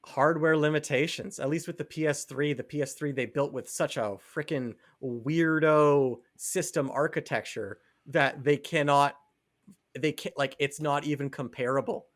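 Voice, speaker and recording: A man's voice: on the high side, fairly even intonation, normal speed. A man co-hosting a podcast, talking casually and explaining his opinions on video games. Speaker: podcast co-host.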